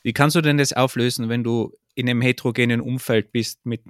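A man's voice: medium pitch, fairly even intonation, brisk, no echo, studio quality. A man with an Austrian accent, chatting casually as the co-host of a podcast.

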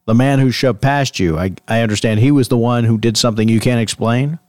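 An actor playing an earnest host of a late-night paranormal call-in radio show, measured and conspiratorial in manner. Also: male voice, medium pitch, a little mellow, no room sound, studio quality, lightly acted. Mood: inviting, attentive. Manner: measured, conspiratorial, theatrical sign-off.

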